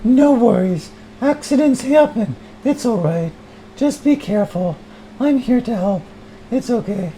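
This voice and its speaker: A man voicing a calm, gentle female yoga instructor in her early thirties, soft and reassuring. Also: extremely high pitch, a little hiss or hum, full character voice, rough recording. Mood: soothing, reassuring. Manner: slow, deliberate, reassuring. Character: calm soothing young female yoga instructor, serenely mindful.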